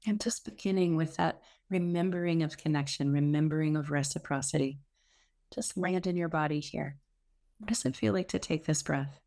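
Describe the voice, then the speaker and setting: A woman leading a guided meditation as the teacher, speaking in a gentle, soothing, unhurried way with pauses. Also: sits low.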